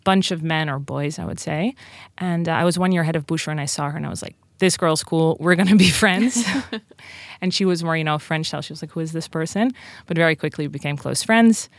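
A woman's voice: low voice, brisk, no echo. A woman in her thirties with a Dutch accent, chatting informally as she answers podcast interview questions and tells stories. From Netherlands. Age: 30s. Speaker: podcast guest, company co-founder.

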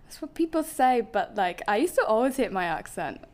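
A woman's voice: fairly high pitch, sing-song, fairly quick. Giggly young Northern Irish woman. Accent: soft Northern Irish. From Northern Ireland. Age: young adult. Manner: giggly, lots of fillers. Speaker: podcast guest. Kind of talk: casual chat.

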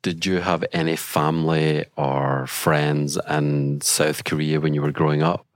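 A man hosting a podcast interview, asking measured, polite questions. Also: extremely low pitch, level tone, no echo, studio quality. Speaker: podcast host.

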